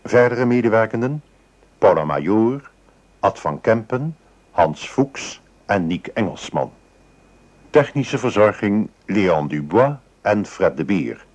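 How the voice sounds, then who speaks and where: A man reading scripted radio credits as an announcer, in a formal, measured way. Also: on the low side, big pitch swings, darkish tone.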